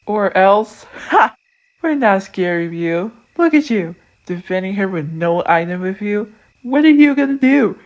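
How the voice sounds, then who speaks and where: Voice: mid-range voice, lively intonation, a little slow, dull audio. A performer playing a cocky but cowardly young street bully in an ASMR roleplay, with a childish, slangy way of talking. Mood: mocking, menacing.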